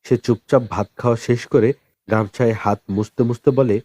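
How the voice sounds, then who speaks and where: A man narrating a novel as an audiobook in a dramatic, expressive storytelling style with deliberate pauses. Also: medium pitch, no room sound.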